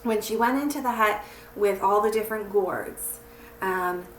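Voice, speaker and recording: A woman's voice: mid-range voice, measured pace. A woman telling stories and talking to children in a simple, encouraging, teacherly way. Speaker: children's story reader and church teacher. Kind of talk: read-aloud storytelling and friendly talk to children. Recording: roomy, distant mic with faint noise.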